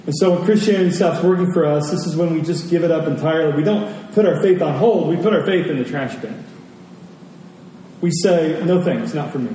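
A man's voice: fairly high pitch, brisk, warm tone. A man preaching a conversational sermon, repeating key phrases for emphasis. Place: large reverberant room. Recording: very echoey hall, distant mic, faint noise.

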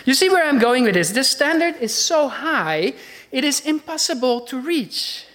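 A woman's voice: high-pitched, sing-song, moderate pace, fairly bright tone. Dutch-accented woman preaching earnestly. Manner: earnest, conversational preaching with rhetorical questions. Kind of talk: sermon.

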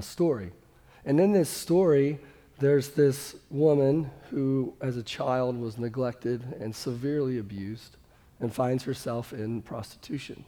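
A pastor with a slightly hoarse voice, preaching a sermon in a conversational, earnest way with frequent restarts. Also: male voice, mid-range voice, dark tone, big-room sound. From Kansas City, USA. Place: church sanctuary.

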